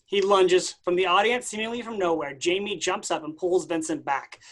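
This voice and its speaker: A man's voice: high-pitched, normal speed. A man reading stage directions as scripted narration at a play reading.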